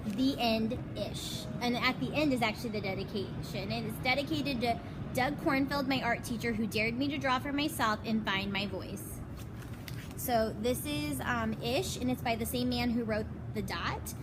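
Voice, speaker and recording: A woman with a high voice reads a children's storybook expressively. She breaks off for chatty asides and questions, like a teacher with her class. It is recorded outdoors in an alley, with very loud ambient noise around her. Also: moderate pace. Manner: expressive, asks listeners questions. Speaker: elementary school teacher. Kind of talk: children's picture book read-aloud with chatty asides.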